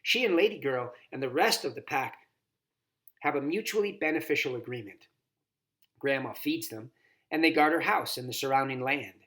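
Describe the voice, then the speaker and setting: A man's voice: mid-range voice, lively intonation, moderate pace, fairly bright tone. A man reading a children's novel aloud expressively, as a volunteer story reader.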